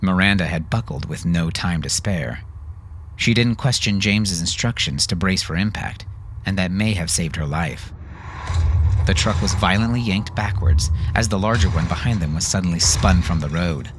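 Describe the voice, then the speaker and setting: A man's voice: sits low, normal speed, slight background noise. A man reading dramatic scripted narration as an audiobook narrator.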